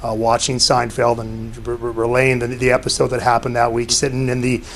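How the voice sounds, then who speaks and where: A man casually reminiscing about a colleague, repeating words haltingly. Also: mid-range voice, completely flat delivery, a bit bright. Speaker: colleague giving a tribute. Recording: faint background noise.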